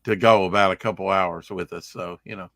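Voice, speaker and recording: A man's voice: low voice, fairly even intonation, a little fast, gravelly, fairly bright tone, no room sound, studio quality. A man hosting an online stream, chatting with guests and agreeing often with short yeahs. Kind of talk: casual interview chat.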